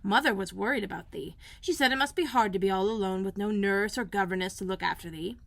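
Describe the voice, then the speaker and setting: A woman's voice: medium pitch, fast, vocal fry, dry recording, lightly acted. A woman reading aloud and voicing a cheerful, chatty young housemaid.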